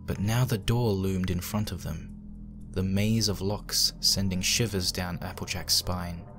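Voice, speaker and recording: A man's voice: sits low, fairly even intonation, unhurried, over music. A man narrating a horror fan fiction as a dramatic scripted reading.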